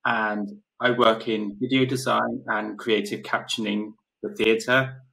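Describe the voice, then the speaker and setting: Young deaf man, slow flat gravelly delivery, video call panelist. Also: on the low side, poor audio. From London, UK. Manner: deaf speaker, slow and careful. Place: home room.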